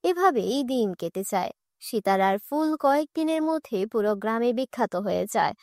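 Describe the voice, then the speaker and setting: A woman's voice: fairly high pitch, lively intonation, moderate pace, darkish tone, no echo, clean studio sound. A woman narrator reading a scripted children's fairy tale. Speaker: storyteller narrator.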